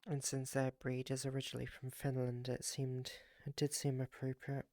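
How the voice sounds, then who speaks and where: A live streamer with a raspy, groggy morning voice, chatting casually with viewers and rambling with many fillers and restarts. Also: mid-range voice, monotone, normal speed, darkish tone, no room sound, clean studio sound.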